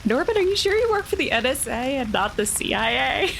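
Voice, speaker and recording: A woman's voice: high-pitched, big pitch swings, a little fast, vocal fry, fairly bright tone, slight background noise. A woman playing a tabletop game, chatting casually and describing her character's actions with playful, wry remarks.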